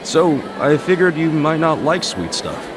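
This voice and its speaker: An easygoing, animated game hero. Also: male voice, fairly high pitch, measured pace, noisy background. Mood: cheerful, teasing. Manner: casual, expressive, friendly banter. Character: easygoing, goofy, good-hearted adult man, street-brawler hero. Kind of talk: English-dubbed video game voice acting.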